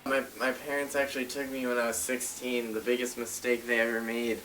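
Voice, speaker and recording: Male tattoo artist, mid-pitched, casual interview delivery over a clear music bed. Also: level tone, fairly quick, fairly bright tone, rough recording. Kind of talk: casual reality show interview talk. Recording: music behind the voice.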